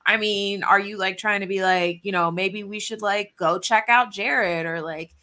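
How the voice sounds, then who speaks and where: Bright, chatty woman co-host. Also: mid-range voice, fairly even intonation, moderate pace. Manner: chatty, many "like"s, laughs often. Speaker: podcast co-host. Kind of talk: casual chat. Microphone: video call.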